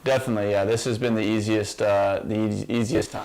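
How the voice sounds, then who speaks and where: A man's voice: fairly low pitch, little pitch movement, brisk, darkish tone, slight background noise, rough recording. A man from Texas with an American accent, answering casually as an athlete being interviewed. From Texas, United States. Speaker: American football quarterback.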